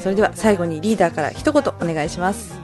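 A woman's voice: on the low side, fast talker, music in the background. A woman hosting a radio show, presenting segments and interviewing her guest. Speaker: radio show navigator, host.

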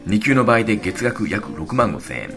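Animated man talking on radio. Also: lowish voice, normal speed. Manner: polite, conversational. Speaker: radio show leader and expert commentator. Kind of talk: radio talk and explanation. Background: faint music.

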